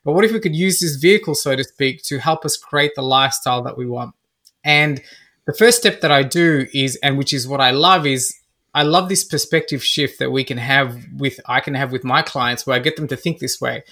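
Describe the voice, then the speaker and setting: A man's voice: medium pitch, fairly quick, bright, forceful tone. An Australian-accented man around forty, a business coach answering interview questions conversationally. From Australia (Melbourne), born in Fiji. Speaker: podcast guest, business coach.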